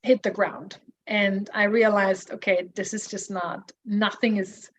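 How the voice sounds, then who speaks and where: A woman's voice: mid-range voice, flat delivery, measured pace. Hesitant woman in her forties. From Austria. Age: 40s. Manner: hesitant, many restarts and fillers. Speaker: support group member. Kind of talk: personal testimony. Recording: muffled lo-fi audio.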